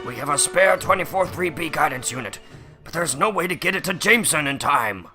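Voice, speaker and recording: Guy doing fretful older boss man in a comic reading, really piercing and gravelly, kinda high. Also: expressive, a little fast, soft background music. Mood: grim. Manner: forceful exclamations. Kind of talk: dramatic comic book reading.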